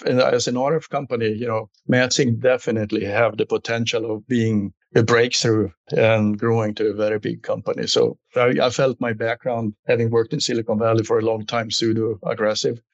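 A man's voice: medium pitch, little pitch movement, brisk, vocal fry, few pauses, dry recording, lo-fi sound. A middle-aged or older man with a Swedish accent, answering conversationally as an executive interview guest.

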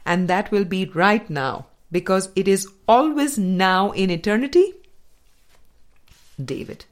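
A woman reading a spiritual dialogue book aloud as an audiobook, in a measured, deliberate manner with pauses. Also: medium pitch, a little mellow. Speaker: audiobook reader.

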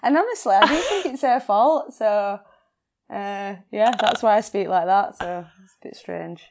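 A woman's voice: mid-range voice, animated, normal speed, darkish tone. A young woman with a Yorkshire accent, chatting casually as a podcast guest, laughing often and swearing freely. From England. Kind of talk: casual chat.

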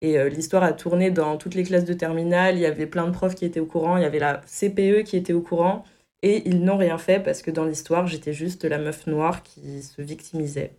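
A woman's voice: on the low side, fairly even intonation, a little fast, mellow. A woman in her early twenties telling a personal story with many hesitations. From France. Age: 20s. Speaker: testimony guest. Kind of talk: personal testimony.

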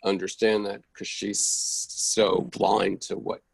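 A man with a heavily creaky, fairly low voice offers feedback in a reflective conversation. He sounds husky and close, earnest as he searches for the right words. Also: flat delivery, slow, no room sound. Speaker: audience member giving feedback on a play. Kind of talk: casual, reflective discussion.

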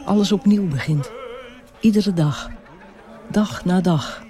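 An actor playing a weary son who works in his father's beer hall, speaking a measured, reflective inner monologue in a radio drama. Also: high voice, dark tone. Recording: music playing behind the voice. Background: music.

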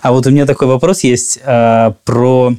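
A man's voice: medium pitch, flat delivery, moderate pace, mellow, clear, resonant tone. A man hosting a casual podcast, reading listener questions aloud and probing for follow-up answers. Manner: reads listener questions, then probes. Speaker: host. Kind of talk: podcast interviewing.

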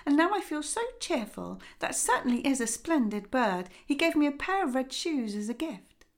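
A woman's voice: high voice, big pitch swings, moderate pace, pitched above the performer's own voice. A woman voicing a young girl in a light, girlish manner. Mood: cheerful. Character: tearful young girl turned cheerful.

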